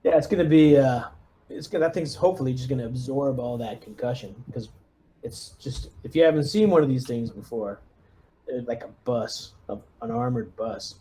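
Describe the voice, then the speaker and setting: A man's voice: medium pitch, moderate pace, warm tone, slight background noise, poor audio. A man playing a tabletop roleplaying game, talking casually through ideas and thinking aloud with hesitations. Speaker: tabletop player playing a military lieutenant.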